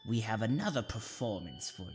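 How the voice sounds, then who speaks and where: A man playing a theatrical, sinister horror host, deliberately narrating a scripted anthology show. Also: lowish voice, very animated. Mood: ominous. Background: plucked guitar music.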